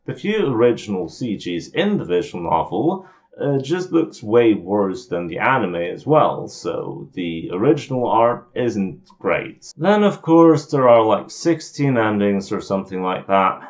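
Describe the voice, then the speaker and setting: A man's voice: lowish voice, sing-song, a little slow. A man giving an informal, scripted game review voice-over in an opinionated, grumbling, self-deprecating way. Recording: muffled lo-fi home voice recording.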